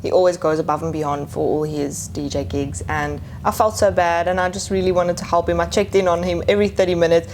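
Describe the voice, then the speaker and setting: A woman's voice: lowish voice, fairly even intonation, a little fast, few pauses, darkish tone, a little hiss or hum. A young woman with a stuffy, nasal voice from a cold, chatting casually on a podcast about weddings. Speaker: wedding planner, podcast co-host.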